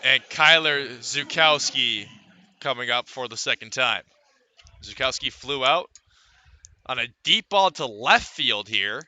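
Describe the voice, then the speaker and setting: Bright, forceful male baseball announcer. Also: mid-range voice, expressive, a little slow, dry recording. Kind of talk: live radio sports commentary.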